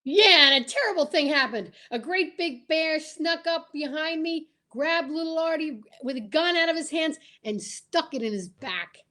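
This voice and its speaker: A woman's voice: high-pitched, normal speed, hardly any pauses, pushed and bright. A woman playing a dim, cheeky male comic sidekick in an old-time radio comedy sketch, delivering silly punchlines eagerly.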